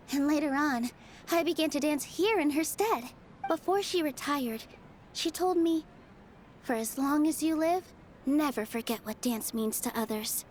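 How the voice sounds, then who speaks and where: A woman voicing a gentle, earnest young theater dancer in a video game, speaking softly and sincerely. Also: sits high. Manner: soft, sincere, wistful storytelling. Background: game music.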